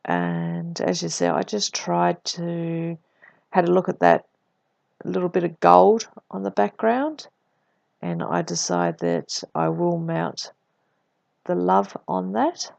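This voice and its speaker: A woman narrates her crafting in a relaxed voice-over, her voice croaky and husky from a cold. Heavy gravel runs through her middle-pitched speech. The recording is dry and a little dull, like a lo-fi home setup. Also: slow pace, no echo. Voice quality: deep and husky. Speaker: scrapbooking vlogger.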